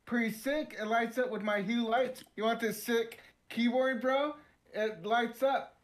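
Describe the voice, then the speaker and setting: Man, very high pitch, podcast co-host, informal joking sponsor read. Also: fairly even intonation, unhurried. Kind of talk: casual, jokey ad read.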